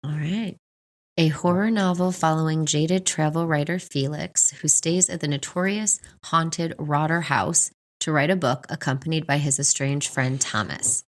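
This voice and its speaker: A woman's voice: deep voice, normal speed. A woman co-hosting a podcast, moving between casual chat and neatly read scripted segments. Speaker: podcast co-host.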